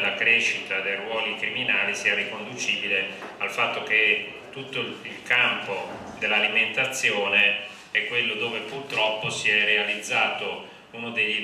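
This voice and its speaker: A middle-aged man giving a public talk in halting, reflective phrases. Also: fairly low pitch, bright, forceful tone. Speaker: panel speaker. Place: indoor conference hall. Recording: echoey room, distant mic, faint noise.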